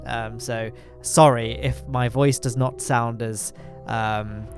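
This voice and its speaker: A man's voice: mid-range voice, fairly even intonation, takes it slowly, soft background music. An English man with a nasal, congested voice, rambling through casual gameplay commentary with lots of fillers and self-deprecating asides. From England. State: congested from hay fever. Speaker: gaming channel host.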